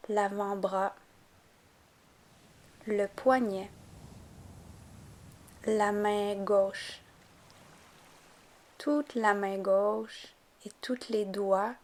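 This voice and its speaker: A woman guiding a yoga nidra relaxation, giving calm, gentle, unhurried instructions. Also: medium pitch. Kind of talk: guided relaxation meditation narration.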